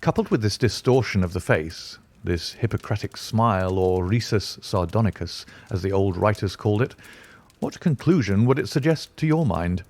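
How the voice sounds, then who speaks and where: A man reading a calm, dry-witted detective. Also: on the low side. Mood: explaining. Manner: measured, explanatory, dry. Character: calm, sharp-minded male detective. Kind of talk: audiobook character voice.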